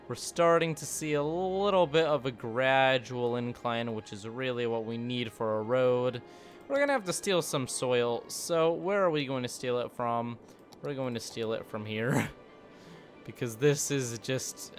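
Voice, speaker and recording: A man streaming a city-building game, chatting casually and joking with sarcasm. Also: mid-range voice. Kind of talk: casual gameplay commentary.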